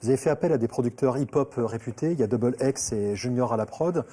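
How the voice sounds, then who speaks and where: Mellow male interviewer, stumbling phrasing. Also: medium pitch, quick pace. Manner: stumbles over repeated words. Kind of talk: music interview.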